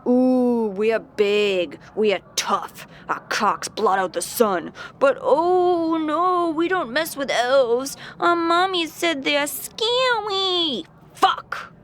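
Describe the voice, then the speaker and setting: An actress playing a short-tempered, bossy noblewoman on the run in a fantasy audio drama, gruff and profane. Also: high voice, animated, takes it slowly, fairly bright tone, heavily acted. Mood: furious, mocking. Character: foul-mouthed, short-tempered fugitive noblewoman commanding a party.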